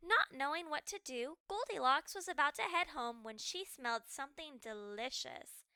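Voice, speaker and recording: A woman storyteller reading a children's book aloud with expressive, dramatic and deliberately phrased narration. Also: high voice, no room sound.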